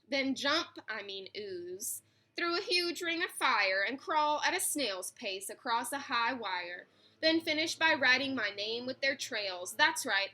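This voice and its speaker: An eager, imaginative eight-year-old schoolboy from a storybook, as acted by a woman reader. The voice is high and bright. He is excitable and boastful, declaiming his grand ideas in rhyme. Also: exaggerated voice.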